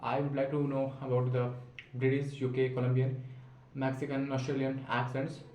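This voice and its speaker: A man's voice: medium pitch, completely flat delivery, moderate pace, a little mellow, a little hiss or hum. Nineteen-year-old man, unscripted self-talk vlog, rambling and self-encouraging, echoey roomy recording. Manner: rambling and self-encouraging, thinking aloud with frequent restarts. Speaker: vlogger practising spoken English.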